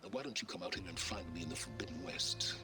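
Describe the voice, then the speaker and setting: An actor playing a condescending, scheming older man who speaks in a measured, sardonic, formal way in a video game. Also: deep voice, steady tone, over music, close to an everyday voice. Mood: smug. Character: condescending, scheming older male rival, smug and erudite.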